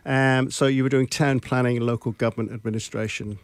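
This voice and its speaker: A man's voice: mid-range voice, steady tone, a little fast, clean tone, no echo. A man hosting a community radio interview show, chatty and given to side remarks. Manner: friendly, chatty, prone to digressions. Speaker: radio host. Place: radio studio.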